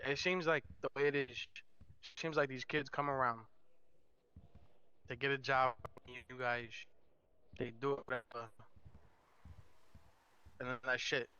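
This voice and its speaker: Seasoned wiseguy, played by a man, improvised game roleplay: forceful, little melody, plain halting delivery, muffled voice-chat sound. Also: mid-range voice, clean tone, natural voice. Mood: weary, complaining. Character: seasoned male mobster weighing crew business.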